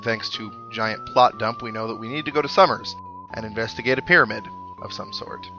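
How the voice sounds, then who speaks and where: A man's voice: on the low side, a little fast, bright, forceful tone. A man hosting a video game playthrough with casual commentary and wry, joking asides. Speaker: video game let's player, host. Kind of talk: casual game commentary, reading dialogue aloud. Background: video game music.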